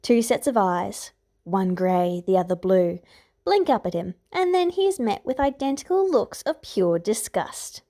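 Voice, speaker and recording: A woman with a medium-pitched voice reads fan fiction as an audiobook narrator. Her delivery is scripted storytelling. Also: animated, measured pace, warm tone. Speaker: podfic author and narrator.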